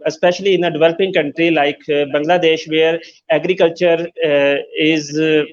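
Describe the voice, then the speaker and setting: A man hosting an interview, speaking formally and haltingly with many fillers. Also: on the high side, steady tone, dull audio. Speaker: host.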